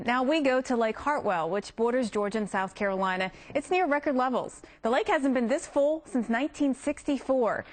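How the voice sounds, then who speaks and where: A woman with an American accent working as a news anchor, presenting and interviewing on live television. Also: on the high side.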